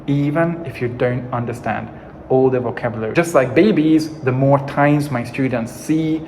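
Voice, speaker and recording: A man's voice: medium pitch, normal speed, a little mellow, a little hiss or hum, poor audio. A young adult man from Hungary with a Hungarian accent, speaking English as a second language, giving an earnest, encouraging teaching monologue as an English coach.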